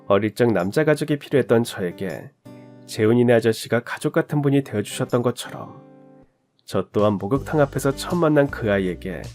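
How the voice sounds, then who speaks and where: A man calmly narrating a listener's true story in an earnest storytelling voice. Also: medium pitch, brisk, faint music behind.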